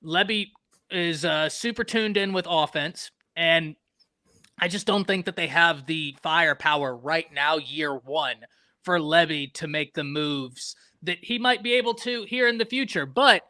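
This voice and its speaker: A man from Texas hosting a casual live-stream sports talk show, animated and talking to chat viewers by name. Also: fairly high pitch, a little slow, no echo.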